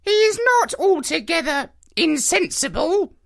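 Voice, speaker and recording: A man's voice: very high-pitched, big pitch swings, gravelly, a bit bright, pitched above the performer's own voice, full character voice. A man voicing a vain, pompous talking male parrot in a storybook reading, speaking with lofty condescension. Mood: haughty. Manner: lofty, condescending, drawn-out declarations.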